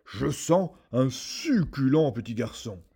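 A fierce ogre giant with a slow, booming roar, as a man puts him on in a storybook reading. The voice is dark and a little husky, pushed loud and drawn out. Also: big pitch swings, full character voice. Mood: menacing. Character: huge roaring male ogre giant, greedy and fierce.

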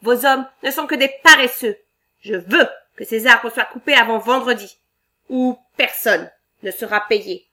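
A woman voicing a mean, mocking male logging boss in a read-aloud storybook, loud and harsh. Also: mid-range voice, takes it slowly. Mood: scornful, bossy.

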